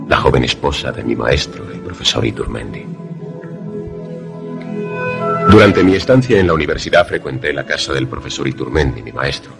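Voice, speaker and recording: Male actor as haunted lovelorn narrator, extremely deep creaky voice, solemn, measured, radio suspense drama, music underneath. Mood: wistful, haunted. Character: haunted, lovelorn former student, grave narrator.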